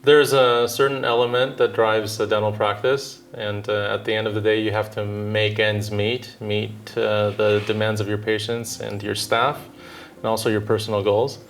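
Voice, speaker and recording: A man in his thirties from Southern California, a dentist answering interview questions in a measured, thoughtful way with frequent filler pauses. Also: fairly low pitch, level tone, slight background noise. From Southern California, United States. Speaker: dentist and orthodontist guest.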